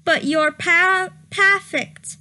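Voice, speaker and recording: Woman, very high bright voice, giggly, comedic dramatic reading, stumbling delivery. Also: very slow. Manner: giggly, often breaking into helpless laughter; stumbles over misspelled words read literally. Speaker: reader of a fan fiction story.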